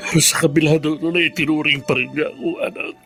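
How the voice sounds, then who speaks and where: A man's voice: a little high, wildly swooping pitch, a bit bright, exaggerated voice. Remorseful Filipino father, music underneath. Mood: anguished, confessional. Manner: halting, earnest, emotional. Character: remorseful adult father, troubled by a family secret. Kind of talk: Filipino radio drama acting.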